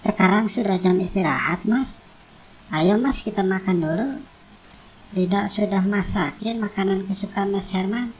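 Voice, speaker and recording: A woman's voice: mid-range voice, moderate pace, close to an everyday voice, poor audio. A woman playing a loyal, bold young wife in a cartoon drama, with some noise behind her. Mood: tender, reassuring.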